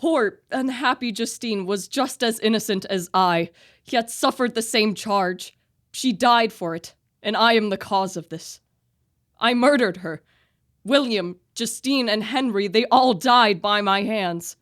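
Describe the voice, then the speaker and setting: A woman's voice: mid-range voice, measured pace, a bit bright, dry recording, clean studio sound, close to an everyday voice. A young woman playing a tormented, guilt-ridden young man in a radio play, with earnest, formal literary diction. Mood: anguished, guilty. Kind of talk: student radio play acting.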